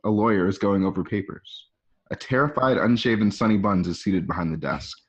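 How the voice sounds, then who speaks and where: Low-voiced man narrating scenes, slightly muffled. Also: brisk. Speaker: narrator reading stage directions. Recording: muffled home recording.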